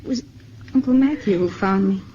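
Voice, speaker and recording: A woman's voice: medium pitch, brisk, mellow, lo-fi sound, a little hiss or hum, lightly acted. An actress playing a tender, frightened young woman fleeing invaders in a 1950s radio drama, on an old broadcast recording. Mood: wistful, sad.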